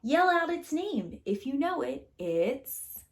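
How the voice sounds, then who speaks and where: A woman reading a children's picture book aloud as a preschool teacher, with an expressive, theatrical, sing-song delivery. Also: sits high, slow, creaky.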